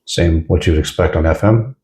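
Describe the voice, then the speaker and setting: Male product reviewer, deep mellow tone, informal and explanatory, casual hands-on demo read. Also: fairly quick.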